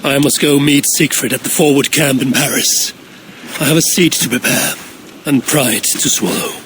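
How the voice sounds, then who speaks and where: An actor playing a steady, blunt Viking warrior leader in a video game cutscene, speaking tersely and evenly. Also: male voice, mid-range voice, moderate pace, a little hiss or hum, close to an everyday voice. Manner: terse and level.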